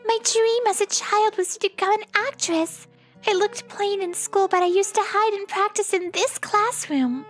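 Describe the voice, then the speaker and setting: A woman's voice: very high-pitched, normal speed, soft background music. A woman voicing a young actress who works undercover as a lunch lady, in a manga dub. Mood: earnest. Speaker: actress character.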